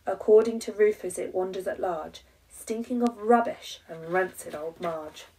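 A woman's voice: mid-range voice, normal speed, mellow, far from the mic, low-quality recording. A woman in her thirties with an English accent, a primary teacher reading a rhyming children's story with dramatic emphasis.

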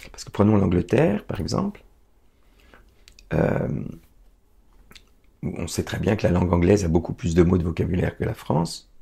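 A man's voice: deep voice, gravelly, mellow, breathy edge. A middle-aged man speaking in a seated interview, emphatic and declamatory yet hesitant at times, recorded on a lapel mic in a small room. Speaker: guest. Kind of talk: conversational interview.

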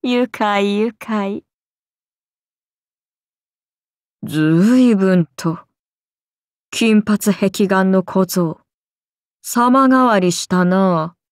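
An actress playing a haughty ancient serpent goddess in a video game, speaking in an imperious, archaic and languid way, amused and menacing. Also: female voice, medium pitch, extremely slow, dry recording, clean studio sound. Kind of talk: video game character voice lines.

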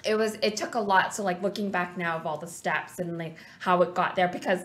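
A woman's voice: lowish voice, fairly even intonation, a little fast, a bit bright. Young adult woman, studio guest, earnest and reflective, casual rambling delivery. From Canada. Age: 20s. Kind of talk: casual interview chat. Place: small TV studio set. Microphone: desk mic on stand.

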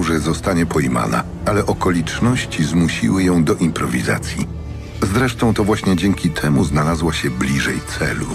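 A man narrating a dramatic fantasy audiobook from a script in a measured, solemn manner. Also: deep voice.

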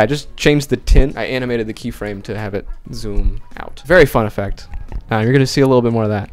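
A young man whose first language is Finnish, talking casually and jokingly into a close handheld mic in a small bedroom as he walks through how he edited a video. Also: mid-range voice. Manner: chatty, jokey, animated. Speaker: video creator. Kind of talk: casual tutorial vlog. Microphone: handheld dynamic mic close up.

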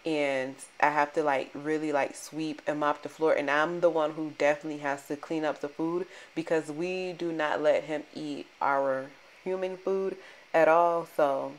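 Low-pitched woman, thirties, chatty rambling vlog narration, casual asides to viewers. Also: fairly even intonation, normal speed. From Florida. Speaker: cleaning vlogger.

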